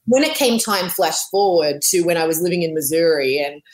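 Australian woman guest, 40s, lower voice, animated storyteller who laughs easily. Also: a little fast. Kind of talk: casual interview.

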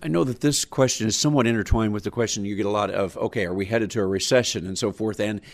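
A man hosting a farm radio program, asking questions in a measured, conversational way. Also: fairly low pitch, dry recording. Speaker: host of farm radio show. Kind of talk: radio interview.

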